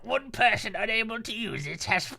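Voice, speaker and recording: Man doing a gravelly, grandiose fantasy storyteller, noisy recording. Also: high voice, lively intonation, moderate pace, pitched above the performer's own voice. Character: portentous storyteller of an ancient relic hunt.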